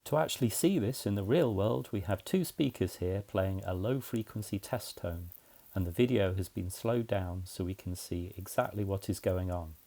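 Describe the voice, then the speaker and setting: A man's voice: low voice, normal speed, mellow. A man narrating a scripted explainer in a methodical, plain-spoken way, as a tutorial presenter.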